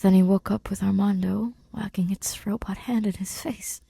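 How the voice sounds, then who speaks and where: Woman reading a story, hushed n dramatic, breathy husky voice, super dry sound. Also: mid-range voice, steady tone, normal speed, dry recording. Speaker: fan fiction podfic reader. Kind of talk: scripted audiobook narration of fiction.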